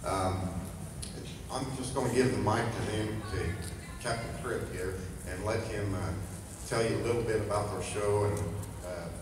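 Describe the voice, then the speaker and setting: A fairly low-voiced man gives an informal stage speech as a horror host and presenter. He talks haltingly, heard from far off in a reverberant hall with background noise. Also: fairly even intonation. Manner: halting, hesitant. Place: large convention center hall, live event. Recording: echoey noisy hall, distant sound.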